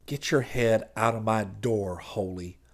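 A man's voice: lowish voice, unhurried. A man voicing a stubborn, irritable country man in an audiobook, speaking curtly and emphatically. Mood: stubborn, irritated. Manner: blunt, ungrammatical, emphatic.

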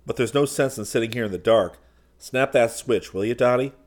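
A man's voice: medium pitch, brisk, close to an everyday voice. A man voicing a confident, plain-spoken adventurer in an audiobook, with a wry, folksy manner. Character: confident, breezy adventurer man who explains things.

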